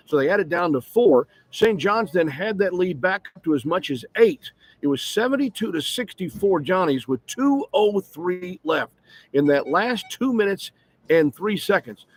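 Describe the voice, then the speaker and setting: A man's voice: sits high, husky. A man working as a play-by-play broadcaster, giving a detailed radio postgame recap full of scores and stats. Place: courtside broadcast position at an arena.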